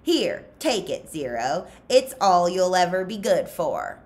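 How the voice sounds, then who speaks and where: A woman's voice: on the low side, very animated, slow pace, exaggerated voice. A woman voicing a mocking, scornful male camp counselor in a storybook, sneering and emphatic.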